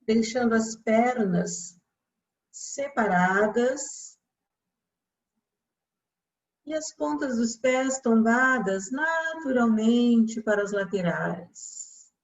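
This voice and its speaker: A Brazilian woman guiding a relaxation meditation as a yoga instructor, speaking calmly and soothingly with long pauses. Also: on the high side, rough recording.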